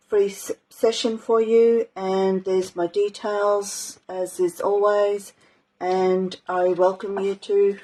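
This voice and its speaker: A woman teaching a live art journaling session in a casual, rambling way, with many ums and a slightly croaky voice. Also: mid-range voice, dark tone, rough recording. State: croaky, clearing her throat. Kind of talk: casual live craft demonstration.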